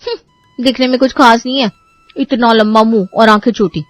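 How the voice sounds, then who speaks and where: A woman's voice: on the high side, fairly even intonation, normal speed, no echo, soft background music, natural voice. A woman voicing a picky, haughty young unmarried daughter in a cartoon story. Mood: dismissive, picky. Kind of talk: Hindi cartoon story voice acting.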